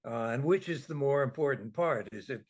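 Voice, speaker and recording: A man's voice: mid-range voice, expressive, normal speed, a little mellow. A man speaking as an interview guest, giving analytical geopolitical commentary.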